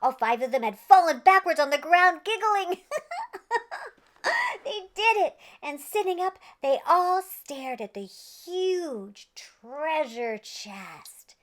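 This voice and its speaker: A woman's voice: high voice, animated, takes it slowly. A woman reading a children's story aloud in a playful, theatrical way with exaggerated emphasis. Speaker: children's storybook reader and host.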